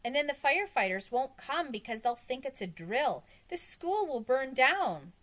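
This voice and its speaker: A woman's voice: sits high, big pitch swings, normal speed, fairly bright tone, pitched above the performer's own voice. A woman voicing an anxious, stubborn schoolboy who talks in an insistent, alarmed way in a storybook. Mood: worried, insistent. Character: anxious, stubborn schoolboy worried about disaster.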